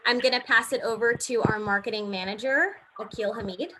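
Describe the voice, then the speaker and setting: A woman's voice: a little high, a little fast, pushed and bright, slight background noise, poor audio. A woman hosting an online event, reading a scripted announcement over a video call. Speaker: event host.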